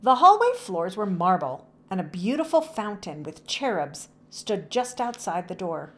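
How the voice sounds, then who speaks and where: A woman reading a children's story aloud as narrator, in an expressive, animated storytelling manner. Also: mid-range voice, a little slow, slight background noise.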